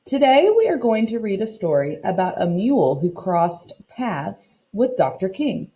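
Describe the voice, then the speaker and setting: A woman reads a picture book aloud as a teacher would for children. Her voice is mellow, with animated intonation and a deliberate, expressive delivery. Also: medium pitch. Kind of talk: read-aloud lesson for children, storybook narration.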